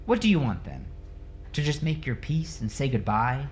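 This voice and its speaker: A man's voice: medium pitch, big pitch swings, moderate pace, slight background noise, pitched above the performer's own voice, natural voice. A man playing an urgent, exasperated father who pleads to save his son in an audiobook drama. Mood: urgent, pleading. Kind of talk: dramatic audiobook character dialogue.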